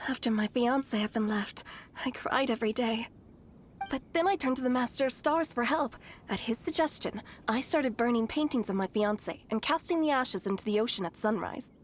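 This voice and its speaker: A woman's voice: on the high side, normal speed, slight background noise, close to an everyday voice. A woman playing a devout, lovelorn, hopeful bride-to-be in a video game, fervent and naively certain. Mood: fervent, overjoyed.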